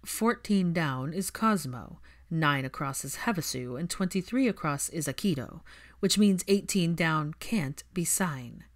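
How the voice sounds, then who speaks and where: A woman voicing a reserved, analytical man in a story reading, measured and understated. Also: low-pitched, lively intonation. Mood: dry.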